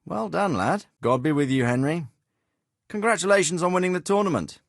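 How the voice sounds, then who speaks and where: An actor playing a dignified, fatherly middle-aged nobleman in a medieval video game, speaking in formal, deliberate phrases. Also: on the high side, gravelly, no room sound, studio quality. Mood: proud, fatherly. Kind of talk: scripted video game dialogue.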